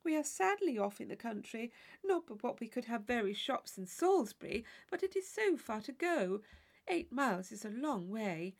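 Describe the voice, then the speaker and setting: A woman's voice: fairly high pitch, very animated, normal speed. A woman voicing a fussy, chatty married lady in a storybook reading, gushing and rambling about small matters. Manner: gushing, rambling, easily impressed. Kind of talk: audiobook character dialogue.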